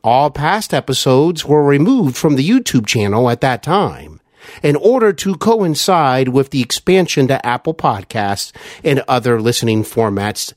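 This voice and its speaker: A man's voice: medium pitch, very animated, unhurried, dry recording, studio quality. A man hosting an interview podcast, introducing his show. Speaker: podcast host.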